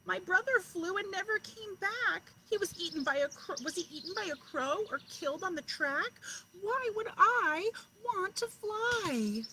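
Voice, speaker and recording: A woman's voice: very high-pitched, expressive, measured pace, pushed and bright, voice pushed up, full character voice, low-quality recording. A woman voicing a scared young female owl chick in a children's storybook, pleading and protesting. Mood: upset, protesting. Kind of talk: children's storybook read-aloud. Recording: clear background noise, outdoors.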